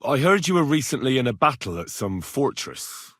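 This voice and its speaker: Earnest young medieval game hero, lively delivery. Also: male voice, mid-range voice, normal speed, no echo, studio quality. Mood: serious. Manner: earnest, persuasive, direct. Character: earnest young medieval man, plain-spoken, persuasive, stubborn. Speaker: video game voice actor. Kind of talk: acted video game dialogue lines.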